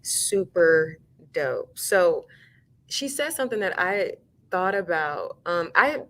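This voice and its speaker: A young woman from New York talks as a student guest in a casual interview. She tells stories in an enthusiastic, chatty way. Her voice sits slightly low. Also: very animated, takes it slowly, fairly bright tone. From New York, USA. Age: young adult.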